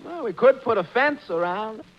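An actor playing a droll tavern employee, a wry underdog who answers with dry wisecracks in 1940s radio comedy style. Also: male voice, sits high, expressive, normal speed. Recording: dull 1940s radio recording.

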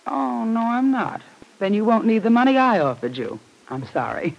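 A woman's voice: a little high, expressive, moderate pace, gravelly, poor audio. An actress playing a haughty, pushy young society woman with arch, mannered politeness in a 1940s radio soap opera. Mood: arch, calculating. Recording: old radio drama recording.

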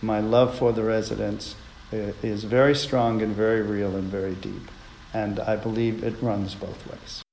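A man's voice: on the low side, level tone, normal speed, gravelly, darkish tone, echoey room, a little hiss or hum, low-quality recording. A man earnestly telling a reflective personal story on a radio show.

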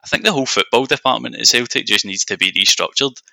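Young Scottish man, podcast guest and football fan. Very bright, forceful edge, dry recording, conversational. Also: medium pitch, fast talker, creaky, no room sound. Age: young adult. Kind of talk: casual chat.

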